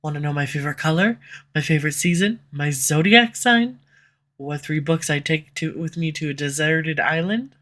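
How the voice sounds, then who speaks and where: A woman's voice: low-pitched, normal speed, fairly bright tone. A woman voicing a sarcastic snarky villain. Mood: teasing. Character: snarky sharp-tongued villain, slightly tsundere. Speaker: audio roleplay voice actor. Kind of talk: scripted audio roleplay. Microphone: USB condenser mic.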